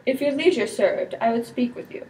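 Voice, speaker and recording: A woman's voice: fairly high pitch, normal speed, dark tone, close to an everyday voice. A teenage girl playing a scheming, slanderous nobleman in an amateur Shakespeare performance. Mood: formal, guarded. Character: scheming, slanderous villain, the prince's brother. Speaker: student performer. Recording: distant mic with background noise.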